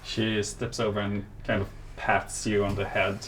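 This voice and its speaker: A man narrating an improvised tabletop roleplay as game master, casual, sweary and halting. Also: on the low side, completely flat delivery, fairly bright tone, poor audio. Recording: faint background noise.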